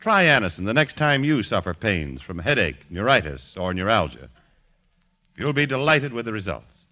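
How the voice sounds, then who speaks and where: A man's voice: lowish voice, big pitch swings, moderate pace, sharp tone. A man reading a vintage 1940s radio advertisement as announcer, with polished, emphatic enunciation. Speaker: commercial announcer. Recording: restored old radio broadcast.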